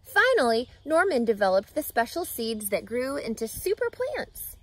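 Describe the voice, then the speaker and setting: A woman reads a children's story aloud, expressive and emphatic, addressing young listeners. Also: a little high, moderate pace. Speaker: reading teacher, storyteller. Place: outdoors in a grassy lakeside yard.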